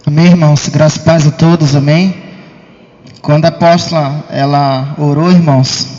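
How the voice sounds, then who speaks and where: High-voiced Brazilian man testifying in church. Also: darkish tone. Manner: emphatic, repeatedly addressing the congregation as brothers. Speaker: church member giving testimony. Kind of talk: religious testimony to a congregation. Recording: dull, muffled sound in reverberant hall.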